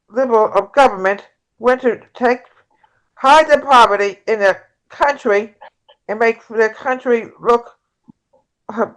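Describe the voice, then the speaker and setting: A woman playing a progressive, combative presidential candidate in an amateur table read, reading haltingly with stumbles. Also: fairly high pitch, close to an everyday voice, low-quality recording. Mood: indignant.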